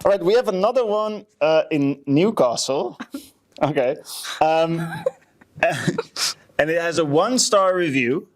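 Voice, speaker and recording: Lively-pitched man chatting casually. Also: unhurried, pauses often. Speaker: podcast co-host. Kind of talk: casual chat, reading out reviews.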